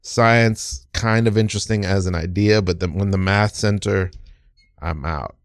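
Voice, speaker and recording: A man's voice: fairly low pitch, fairly even intonation, unhurried, dry recording, clean studio sound. A man hosting a podcast with casual, improvised comedy banter, playful and given to repeating phrases for emphasis. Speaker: podcast host.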